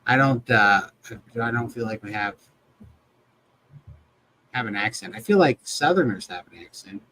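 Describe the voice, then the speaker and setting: A man's voice: mid-range voice, animated, moderate pace, pushed and bright, a little hiss or hum, rough recording. A man hosting a casual livestream chat over a video call. He jokes often and laughs easily. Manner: jokey, laughs often, chatty. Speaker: livestream host.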